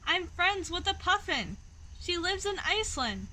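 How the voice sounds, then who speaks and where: An excitable young boy bird from a storybook, acted out by a woman reading aloud. The voice is very high and bright, chirpy and boastful in a childlike way. There is clear noise behind it. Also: unhurried, exaggerated voice, rough recording. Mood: excited, boastful. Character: eager, chirpy young male bird obsessed with social media.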